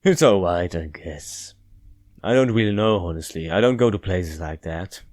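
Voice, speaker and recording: A man reading as an aloof, withdrawn male narrator of a visual novel, reflective and deadpan. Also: sits low, normal speed, dry recording, studio quality. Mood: reluctant, deadpan.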